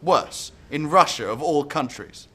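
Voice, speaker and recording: A man's voice: a little high, lively intonation, unhurried, bright, forceful tone, breathy edge, heavily acted. A man acting a blunt, scornful security service chief in a radio drama, sarcastic.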